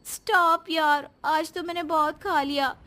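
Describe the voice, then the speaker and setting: A woman voicing a flustered, loud young daughter-in-law in a cartoon moral story, exclaiming dramatically. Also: high-pitched, fairly even intonation, unhurried, very noisy, voice pushed up. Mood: complaining.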